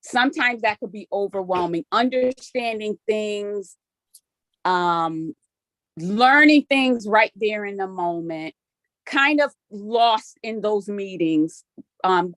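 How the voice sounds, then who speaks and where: A woman's voice: medium pitch, dry recording. A native Spanish-speaking woman giving informal, emphatic remarks as a board member at a meeting, thinking aloud as she goes.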